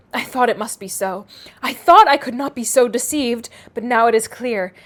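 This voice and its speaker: A woman voicing a self-assured young gentlewoman matchmaker in a classic novel, knowing, precise and a little condescending. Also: a little high, lightly acted. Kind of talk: classic novel read aloud.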